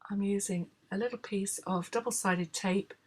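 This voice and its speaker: Hoarse woman with a cold. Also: medium pitch, moderate pace. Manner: calm, explanatory, frequent "uh" fillers. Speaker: craft tutorial host. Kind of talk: step-by-step tutorial voice-over.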